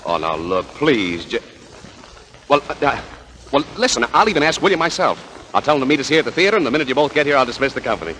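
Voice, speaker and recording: An actor playing a sarcastic, hot-tempered theater director in a 1940s radio play. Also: male voice, mid-range voice, big pitch swings, fast, bright, forceful tone, big-room sound. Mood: coaxing. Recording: faint hiss of old radio.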